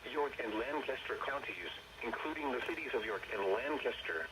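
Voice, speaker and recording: A man's voice reading a weather forecast over a radio receiver in a flat, monotone way. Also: medium pitch, moderate pace, over a noisy crowd. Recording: tinny radio speaker heard from across the room with loud noise.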